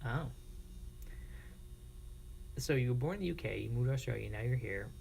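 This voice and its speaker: An American man streaming casually, chatting informally and reacting to viewers in a creaky, gravelly voice. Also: medium pitch, lively intonation, normal speed, darkish tone, slight background noise. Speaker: live streamer. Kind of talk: casual livestream chat with viewers.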